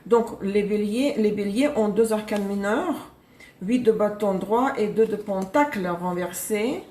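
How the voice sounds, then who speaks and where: Woman, mid-pitched, chatty live tarot reader, roomy echoey sound. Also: measured pace, dark tone. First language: Russian. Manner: chatty, self-correcting, laughs often. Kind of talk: live-stream tarot reading. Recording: echoey room.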